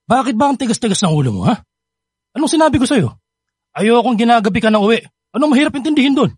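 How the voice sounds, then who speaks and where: A man's voice: very high voice, sing-song, quick pace, a little mellow, slightly rough, no echo, studio quality, exaggerated voice. A man with a Filipino accent acting a stern, scolding father with a short temper in a radio drama. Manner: scolding, exasperated, domineering. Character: stern, hot-tempered, overworked father of a teenage son. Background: background music.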